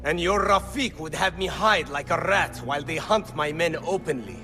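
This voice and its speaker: A man's voice: on the high side, moderate pace, bright, forceful tone. An actor playing a proud, vengeful male rebel leader in a video game, defiant and heated, with music behind him. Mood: furious, defiant. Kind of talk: dramatic video game acting.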